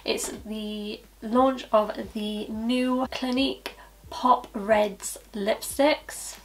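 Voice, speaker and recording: A young woman reviewing lipsticks to camera in a chatty way, her voice a little croaky from hay fever, often saying obviously and so yeah. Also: a little high, steady tone, drawn-out words, low-quality recording. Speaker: beauty vlogger and cosmetics consultant. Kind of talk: casual product review to camera. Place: small indoor studio with plain backdrop. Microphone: camera at head-and-shoulders framing.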